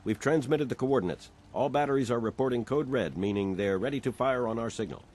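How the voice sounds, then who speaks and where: A man's voice: mid-range voice, brisk, a little mellow, close to an everyday voice. An actor playing a dutiful, deferential militia soldier formally reporting to his superior in a game. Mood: ready, dutiful.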